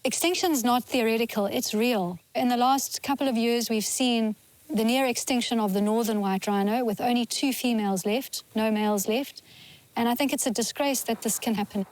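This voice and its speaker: A woman's voice: on the high side, normal speed, darkish tone, poor audio. A woman ecologist speaking in an earnest, articulate documentary interview. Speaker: wildlife ecologist. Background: music.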